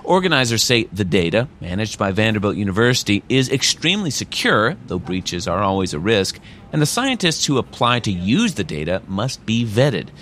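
A man delivering a scripted radio news report in a measured, even way. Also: fairly low pitch, a little hiss or hum.

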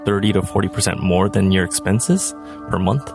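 A man's voice: low-pitched, normal speed, creaky, over music. A man in his thirties, a poll respondent, speaking in a matter-of-fact way. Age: 30s.

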